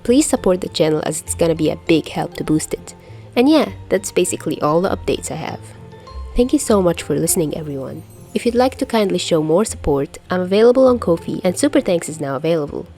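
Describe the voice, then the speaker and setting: A woman's voice: fairly low pitch, lively intonation, a little fast, dark tone, faint music behind. A woman who reads fan fiction aloud as host of her own channel. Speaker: podfic reader and channel host. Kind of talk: narration of fan fiction and casual updates.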